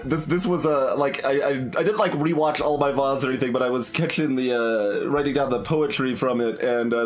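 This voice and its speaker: A man streaming a game playthrough, talking casually to viewers in a hesitant, rambling way as he thinks aloud. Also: medium pitch.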